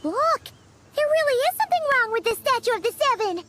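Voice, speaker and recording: A woman voicing a tiny, excitable childlike fairy companion in a video game, with a squeaky voice and exclamatory delivery. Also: a little fast. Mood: excited, insistent. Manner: exclamatory, refers to herself in third person. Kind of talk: video game character acting.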